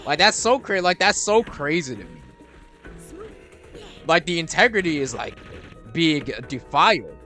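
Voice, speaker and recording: A man's voice: on the high side, sing-song, measured pace, long pauses, pushed and bright. Rambling young man over game music. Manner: rambling, many restarts and fillers, swears casually. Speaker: gaming streamer. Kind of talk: casual gameplay commentary.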